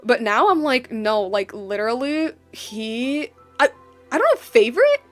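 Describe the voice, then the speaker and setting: A woman's voice: a little high, sing-song, unhurried, soft background music. A woman giving casual, unscripted game commentary as a streamer, rambling and emphatic, often repeating her phrases.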